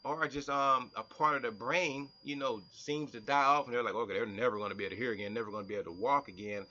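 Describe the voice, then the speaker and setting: A man's voice: mid-range voice, fast, lo-fi sound. A man reading science text aloud and breaking off to explain it in his own casual words, often repeating and restarting. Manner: animated, breaks from reading to comment and mimic people. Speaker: health vlogger.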